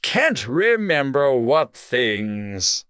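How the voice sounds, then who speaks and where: A man voicing a sleepy, stern and contemptuous caterpillar in a dramatized storybook, with terse, drawn-out speech. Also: mid-range voice, sing-song, fairly bright tone, clear, resonant tone, no echo, studio quality, full character voice. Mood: languid, curt. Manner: slow, drawn-out, terse, haughty.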